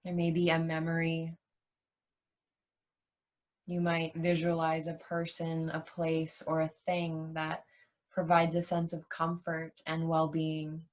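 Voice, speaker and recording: A woman leading a guided yoga nidra meditation as a teacher, soothing and unhurried, leaving long pauses between short phrases. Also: deep voice, monotone.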